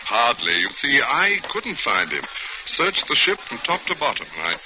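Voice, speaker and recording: A suave, self-assured gentleman detective, with a lively, swooping middle-pitched voice in 1940s drama style. The vintage recording is muffled and noisy. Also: normal speed. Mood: explaining. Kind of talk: 1940s radio drama acting.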